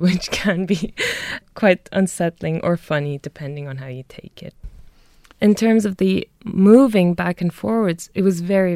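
A woman's voice: lowish voice, vocal fry, a little mellow, dry recording, studio quality. A young woman from Germany reading her poetry and talking thoughtfully about her life, with light laughs between her words. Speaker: poet and guest. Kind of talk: poetry reading and interview answers.

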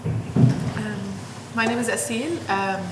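A woman from Jerusalem, an installation and performance artist, introduces herself haltingly with many "um"s. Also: a little high. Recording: distant mic in a noisy, roomy space.

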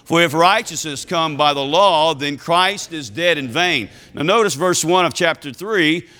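Scratchy-voiced man preaching. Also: pushed and bright. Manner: folksy, calls out amen to the congregation; reads scripture slowly with rising pitch and emphasis. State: scratchy voice from illness. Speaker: visiting preacher. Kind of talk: sermon.

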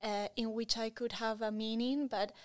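A woman in her thirties with a Latin American Spanish accent, a native Spanish speaker, answering interview questions in an enthusiastic, expressive, conversational way. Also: on the high side, moderate pace. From Colombia and Spain. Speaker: interview guest.

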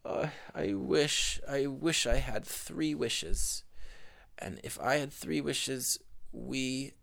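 A man's voice: medium pitch, slow, gravelly, fairly bright tone, pitched above the performer's own voice. A man voicing a poor, weeping old woman in a bedtime story, pleading and plaintive.

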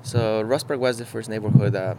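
A man from Mexico, talking casually outdoors in an on-site interview, halting and searching for words with many fillers. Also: fairly low pitch, heavy background noise. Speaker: muralist interviewee. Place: outdoors beside a mural.

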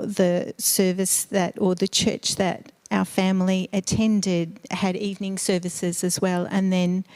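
A middle-aged woman from Eastern Europe, raised in Australia, telling her story as a missionary nurse in a church interview, earnest and thoughtful with gentle laughs. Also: on the low side. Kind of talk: church interview, personal testimony. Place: church sanctuary.

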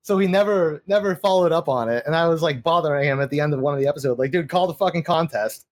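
Profane, rambling man, fairly high voice. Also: quick pace, no room sound, clean studio sound. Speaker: guest. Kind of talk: casual podcast chat.

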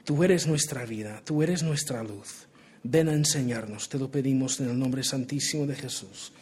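A man's voice: mid-range voice, normal speed, a little mellow, a little hiss or hum. A young man raised in Portugal preaching, his Spanish accented by Portuguese and American English; earnest, with many restarts. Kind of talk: sermon, Bible reading and prayer.

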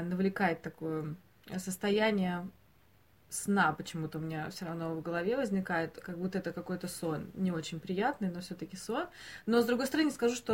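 Female podcast host, lower register, unscripted and hesitant, discussion style. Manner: hesitant, searching for words.

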